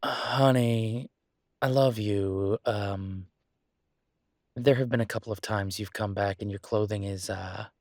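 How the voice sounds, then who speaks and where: A man's voice: on the low side, steady tone, takes it slowly, no room sound, studio quality. A man playing a devoted, reassuring human boyfriend in an intimate roleplay audio, speaking casually and hesitantly. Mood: caring, matter-of-fact. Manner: hesitant, casual, soothing, with soft chuckles. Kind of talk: roleplay audio acting, intimate one-sided conversation.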